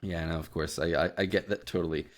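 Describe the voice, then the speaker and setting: A man hosting a casual podcast interview, speaking in a hesitant, halting way. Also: low voice, steady tone. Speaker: podcast host.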